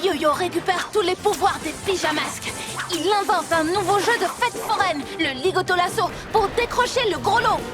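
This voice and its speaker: A woman's voice: extremely high pitch, moderate pace. A woman voicing a brave, determined young boy superhero in a cartoon dub, speaking earnestly. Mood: eager, determined. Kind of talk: animated cartoon dub acting. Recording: constant loud music underneath. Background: loud cartoon score.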